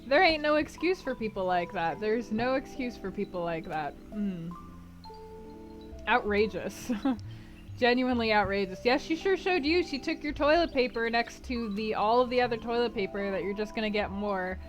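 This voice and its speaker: A woman with a slightly high voice chats loosely with her stream audience, rambling through fillers and breaking into frequent laughs. She is a streamer hosting a read-aloud book club. Soft music plays faintly behind her. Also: moderate pace. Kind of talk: casual chat with live chat before a stream reading.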